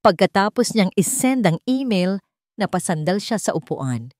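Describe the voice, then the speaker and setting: A woman's voice: medium pitch, sing-song, normal speed, darkish tone, no echo. Filipino woman narrator, dry close sound. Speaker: story narrator. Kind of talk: scripted dramatic story narration.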